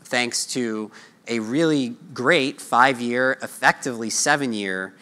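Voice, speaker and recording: A man's voice: medium pitch, takes it slowly, pushed and bright. An American man in his thirties giving a conference keynote in a conversational way, posing and answering his own questions, on a lapel mic. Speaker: market research analyst giving a keynote. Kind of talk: conference presentation. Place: large hotel ballroom conference stage.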